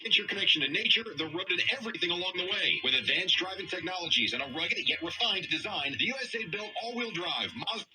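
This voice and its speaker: Radio commercial guy, lively swoops, highish voice, playing off a little radio. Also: fast, few pauses, music in the background, low-quality recording. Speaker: radio advertising announcer.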